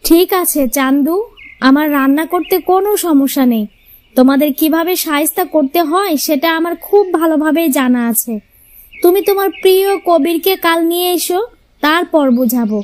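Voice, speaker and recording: Lady doing high pitched bossy cartoon wife, sharp tongue, very expressive. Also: moderate pace. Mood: slyly threatening. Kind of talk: Bengali cartoon fairy-tale voice acting.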